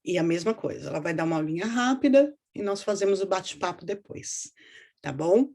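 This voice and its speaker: A woman's voice: on the low side, expressive, brisk, gravelly, dark tone. A woman from São Paulo with a paulista accent, hosting an online event and introducing a guest in a chatty, friendly way over a video call. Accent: São Paulo Portuguese. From São Paulo, Brazil. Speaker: host of a support group event.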